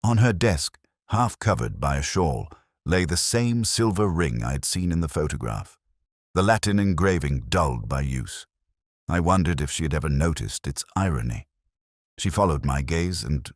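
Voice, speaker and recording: Male narrator, extremely low pitch, lively intonation, dry close sound, reflective scripted mystery storytelling. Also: no room sound. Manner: measured, literary, reflective delivery. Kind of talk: scripted first-person detective story narration.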